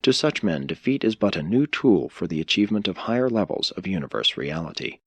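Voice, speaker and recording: A man reading a philosophical religious audiobook in a measured, solemn, formal way. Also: low voice, sing-song, a bit bright, husky, dry recording. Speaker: audiobook narrator. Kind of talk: scripted audiobook narration of a philosophical religious text.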